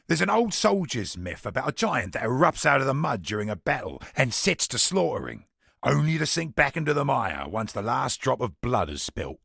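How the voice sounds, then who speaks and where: Husky trader from a fantasy game, roguish n wry, voice goes up and down a lot. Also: male voice, mid-range voice, sharp tone, no room sound, studio quality, full character voice. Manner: colloquial, wry storytelling with British slang. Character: roguish, chatty male merchant, wry and worldly. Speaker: merchant character in a fantasy video game. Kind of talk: scripted video game character dialogue.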